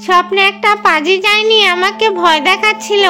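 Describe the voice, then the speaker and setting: Squeaky, excitable little cartoon girl. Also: moderate pace. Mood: curious, anxious. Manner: chatty, questioning, excitable. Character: eager, easily frightened young girl who dreams of magic. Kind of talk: Bengali cartoon voice acting.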